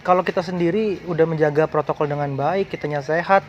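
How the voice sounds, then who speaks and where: Man, twenties, fairly high voice, casual, faint music behind. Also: quick pace, highs cut off. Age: 20s. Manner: relaxed, casual slang. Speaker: interviewee. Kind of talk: casual interview answers.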